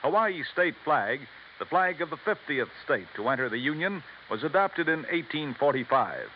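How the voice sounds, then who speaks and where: A man's voice: on the high side, sing-song, normal speed. A man reading a scripted educational radio announcement. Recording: dull hissy vintage radio recording.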